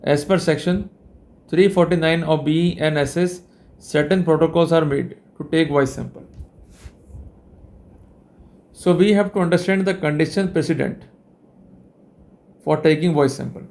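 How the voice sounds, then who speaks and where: Higher-pitched Indian man lecturing formally. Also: steady tone, a little slow, pauses often, darkish tone. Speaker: legal lecturer. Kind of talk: explanatory legal lecture.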